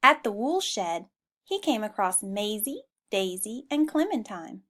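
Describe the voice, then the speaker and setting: A woman reading a children's storybook aloud in an expressive style. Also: mid-range voice, unhurried. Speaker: storybook reader.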